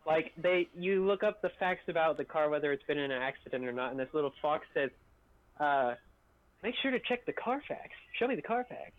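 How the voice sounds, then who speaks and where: A man with a fairly high voice for a male chats casually as a stream co-host. His audio is a bit dull and lo-fi, like a voice call. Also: animated, brisk, no echo. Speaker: stream co-host reading viewer questions. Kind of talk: casual chat.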